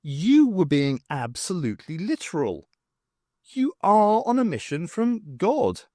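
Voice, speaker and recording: Man acting sceptical adventuring woman, impatient, incredulous, expressive intonation, audiobook. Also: sits high, unhurried, dry recording, studio quality, voice pushed up, heavily acted.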